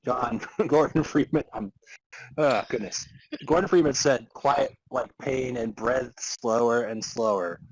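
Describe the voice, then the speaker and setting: Husky man narrating with halting delivery. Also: medium pitch, dry recording, low-quality recording. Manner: halting, amused delivery of broken sentences. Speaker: podcast narrator and co-host. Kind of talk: comic dramatic reading of fan fiction, with casual asides.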